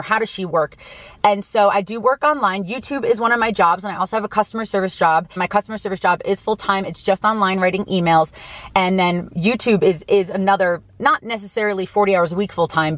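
American woman, early thirties, casual vlog talk, emphatic, mid-pitched, dry car-interior acoustics. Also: dry recording. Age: early 30s. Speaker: vlogger. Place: inside a small parked car.

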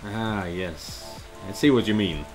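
A middle-aged man giving casual playthrough commentary and reading dialogue, speaking in a hesitant, halting way. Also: lowish voice. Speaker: gaming video creator. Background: game music and rain ambience.

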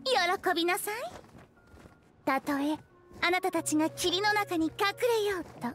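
A dramatic would-be princess who declaims every line like a royal decree. Her voice is high, and light game music plays behind it. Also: unhurried, a bit bright. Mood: grandiose. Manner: grandiose, melodramatic proclamations. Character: haughty self-styled young princess, theatrical. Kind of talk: video game voice acting. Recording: game cutscene audio with soundtrack under it.

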